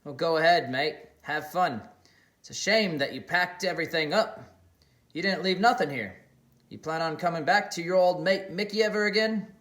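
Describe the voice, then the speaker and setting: A man's voice: a little high, moderate pace, fairly bright tone, pitched above the performer's own voice, close to an everyday voice. A man reading an audiobook, voicing a sly beer-drinking male housemate with a teasing, knowing manner.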